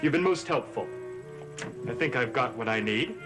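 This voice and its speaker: A man's voice: mid-range voice, lively intonation, normal speed, lightly acted. Earnest young soap opera man over music acted. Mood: self-satisfied. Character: earnest young man, newly worldly, bitter about love. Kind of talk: 1970s gothic soap opera acting.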